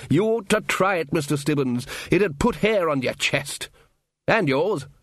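A man's voice: a little high, animated, a bit bright, no room sound, studio quality, pitched above the performer's own voice. Man playing a drawling, theatrical old wizard. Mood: enthusiastic. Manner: theatrical, drawn-out, wistful reminiscing. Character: old male wizard, blustering, nostalgic, grandly theatrical.

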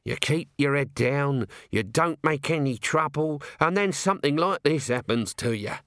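Man putting on a whiny little corporal, high and moaning. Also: lively intonation, moderate pace, fairly bright tone, no room sound, studio quality. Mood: woeful. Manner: moaning, whining. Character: scruffy small male corporal, whiny and woeful.

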